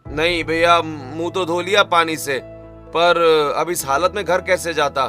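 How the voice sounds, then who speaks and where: A man's voice: on the high side, steady tone, measured pace, sharp tone, soft background music. A man voicing a weary, hungry young farmer brother who complains earnestly in a cartoon story. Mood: dejected. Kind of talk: Hindi cartoon story dialogue.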